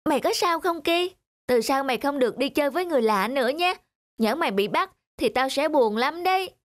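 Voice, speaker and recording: A woman's voice: sits high, animated, brisk. A woman voicing a chubby little boy in a cartoon, possessive and earnest about his pet. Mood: protective. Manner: childlike, earnest. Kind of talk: cartoon dubbing. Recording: music behind the voice.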